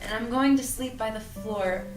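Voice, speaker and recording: A woman's voice: fairly high pitch, moderate pace, natural voice. A woman acting a feisty, sarcastic and protective young woman in an audio drama read-through, with an exasperated, bossy manner. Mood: weary, caring, wry. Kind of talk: acted reading of an audio drama script. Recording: roomy, distant mic, music underneath.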